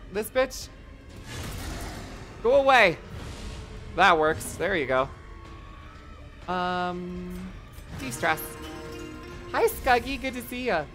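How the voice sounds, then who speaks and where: A game streamer with a voice going hoarse, chatting casually, swearing a lot and reacting loudly to the game. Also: medium pitch. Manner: excitable, profane, reacts loudly to gameplay. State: voice getting hoarse. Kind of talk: casual live-stream commentary.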